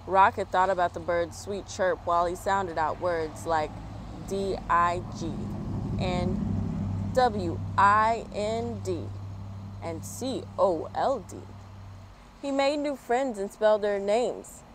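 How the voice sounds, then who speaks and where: A woman hosting a children's story time, reading and chatting in an expressive manner. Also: medium pitch. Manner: expressive, spells words out slowly. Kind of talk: children's picture book read-aloud. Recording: clear background noise.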